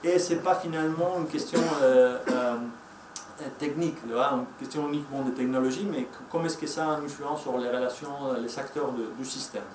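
A man's voice: medium pitch, brisk, a little mellow, a little hiss or hum. A man with a Spanish accent and Spanish as his first language, giving a hesitant conference presentation with many fillers and restarts. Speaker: conference speaker. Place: conference room. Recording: echoey room, mic from far off.

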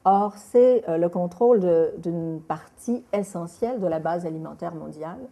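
A middle-aged woman, a documentary filmmaker answering an interview, pausing often on filler hesitations. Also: lowish voice, mellow. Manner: halting, many filler hesitations.

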